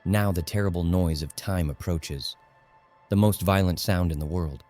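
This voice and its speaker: Male narrator, low voice, grave and measured literary read, faint music behind. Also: darkish tone. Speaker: audiobook narrator.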